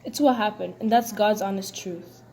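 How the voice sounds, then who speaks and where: A woman's voice: medium pitch, moderate pace, creaky, darkish tone. A woman reading a scripted news report aloud as one of two presenters.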